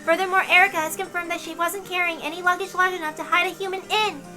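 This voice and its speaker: Woman acting a very high, stern inquisitor. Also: fairly even intonation, fast talker. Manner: formal, declarative, unyielding. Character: stern, formal female inquisitor reciting absolute laws. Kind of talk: dramatic visual novel read-aloud. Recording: loud music behind the voice.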